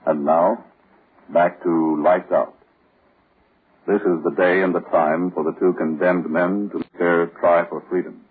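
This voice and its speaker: A man with a husky voice announcing on an old-time radio program. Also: deep voice, little pitch movement, unhurried. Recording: tinny old radio broadcast.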